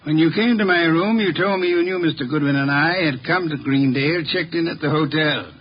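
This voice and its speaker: A man acting a brilliant, haughty middle-aged detective in a 1950s radio drama, speaking in a pompous, formal, deliberate way, on a muffled old recording. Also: on the high side, a little hiss or hum, close to an everyday voice. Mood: stern, certain. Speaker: radio actor in a detective series.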